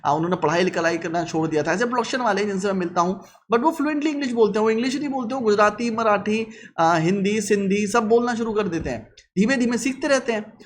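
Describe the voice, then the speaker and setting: Blunt high-voiced man. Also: fast talker, slight background noise. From Lucknow, India. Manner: blunt, lecturing, mixes English phrases into Hindi. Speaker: vlogger. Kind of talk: casual advice monologue.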